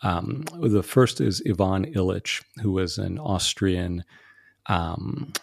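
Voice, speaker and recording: A man being interviewed as a podcast guest, explaining ideas in a measured way with frequent "uh" and "um" fillers. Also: low-pitched, gravelly, no room sound, studio quality. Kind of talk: thoughtful interview answers.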